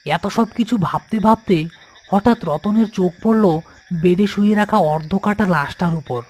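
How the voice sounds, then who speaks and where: A man's voice: high-pitched, normal speed, a little mellow. A man narrating a scripted horror cartoon as a storyteller.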